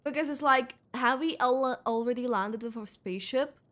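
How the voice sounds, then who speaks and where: A woman's voice: sits high, moderate pace, fairly bright tone. A woman streaming a game with casual, rambling commentary, thinking aloud and correcting herself as she goes. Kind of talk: casual live gameplay commentary.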